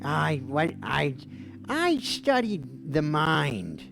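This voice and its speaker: Gravelly woman, swooping theatrical streamer host. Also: low voice, wildly swooping pitch, drawn-out words. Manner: dreamy, theatrical and goofy. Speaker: livestream call-in show host. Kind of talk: playful mock-therapist call-in chat. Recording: music playing behind the voice. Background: background music.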